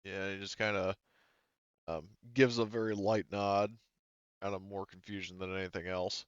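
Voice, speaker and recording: A man working as game master, narrating a tabletop roleplaying game casually and haltingly as he thinks aloud. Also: sits low, little pitch movement, smooth, no room sound, highs cut off.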